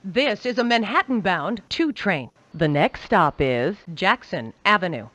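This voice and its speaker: A woman giving recorded train announcements in a measured, formulaic announcer delivery. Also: medium pitch, animated, fairly bright tone, dry recording. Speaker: transit announcer.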